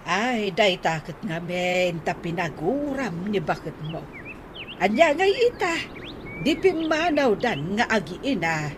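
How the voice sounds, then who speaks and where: A woman's voice: mid-range voice, sing-song. A woman playing a plain-spoken neighbour who chides and advises, in a radio drama, with an Ilocano accent. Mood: questioning. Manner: chiding, measured, emphatic. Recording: noisy, outdoor-like ambience behind.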